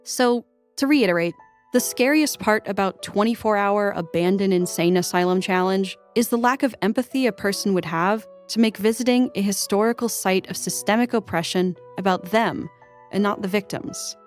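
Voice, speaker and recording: A woman's voice: medium pitch, normal speed. A woman narrating a scripted video as its creator. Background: faint background music.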